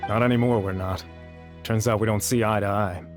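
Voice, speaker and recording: An actor playing a principled researcher who speaks in a dry, matter-of-fact way. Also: male voice, lowish voice, steady tone, fairly quick, close to an everyday voice. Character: principled, aloof male researcher. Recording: game dialogue over music.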